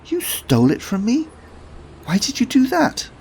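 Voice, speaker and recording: A man doing a shy, halting young woman. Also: lowish voice, expressive, pitched above the performer's own voice. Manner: hesitant, halting. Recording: roomy echo.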